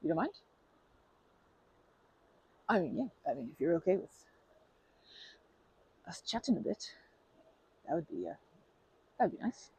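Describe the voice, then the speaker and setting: A woman doing an ASMR roleplay as a polite stranger, talking softly with hesitant, rambling chatter and many restarts, sometimes dropping to a whisper. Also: lowish voice, very animated, unhurried, pauses often, slightly rough, rough recording. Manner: soft-spoken, drops to whispers.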